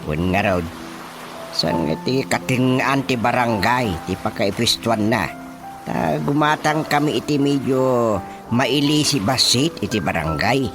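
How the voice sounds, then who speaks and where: Measured village chairman, Filipino accent. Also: mid-range voice, expressive. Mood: matter-of-fact. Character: village chairman, matter-of-fact and practical man. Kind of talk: Ilocano radio drama acting. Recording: radio drama with music underneath.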